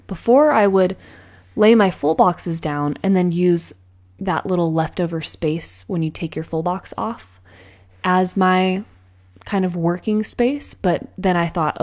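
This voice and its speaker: A woman chatting casually while decorating a planner, bubbly and rambling, her voice a little raspy in the morning. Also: on the low side, darkish tone. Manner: bubbly, rambling, frequent laughs and filler words. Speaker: planner vlogger. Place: at home with cats meowing.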